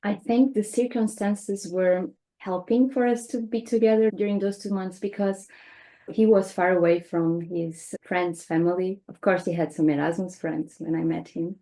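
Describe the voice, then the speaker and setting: A woman in her thirties from Portugal with a Portuguese accent, a native Portuguese speaker talking calmly as a podcast guest in second-language English. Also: on the low side, poor audio. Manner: speaks second-language English with small slips, calm and reflective. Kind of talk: conversational interview.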